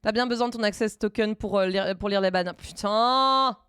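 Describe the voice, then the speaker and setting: A woman streamer chatting casually while she codes live, thinking aloud in halting bits and dropping in English coding terms. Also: mid-range voice, fairly bright tone, clean tone, no echo, studio quality. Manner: thinks aloud, halting, many fillers and restarts.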